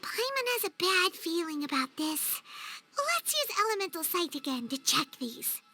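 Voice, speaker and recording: Childlike fairy sidekick, squeaky, very animated pitch, excitable, naive, game cutscene, music underneath. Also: female voice, normal speed, heavily acted. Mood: puzzled, wary. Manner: refers to self in third person, exclaiming, wheedling. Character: small excitable childlike fairy companion girl, chatty and naive. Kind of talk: video game cutscene acting.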